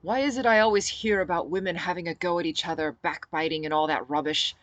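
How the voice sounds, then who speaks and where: A woman's voice: lowish voice, fast talker, fairly bright tone, dry recording, close to an everyday voice. A woman reading an audiobook and voicing a brash, blunt male rebel who flirts clumsily. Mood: puzzled, blunt.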